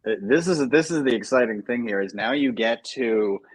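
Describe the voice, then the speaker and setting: A man's voice: medium pitch, expressive, moderate pace, breathy edge, no room sound, poor audio. A man hosting a casual panel, enthusiastic and encouraging toward the guests. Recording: dry close-mic sound.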